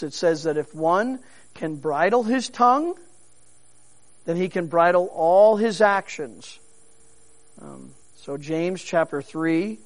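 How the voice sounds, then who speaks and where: A man preaching a sermon, earnest and unhurried, with frequent fillers and repeated words. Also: high voice. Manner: preaching with emphatic rises. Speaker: pastor.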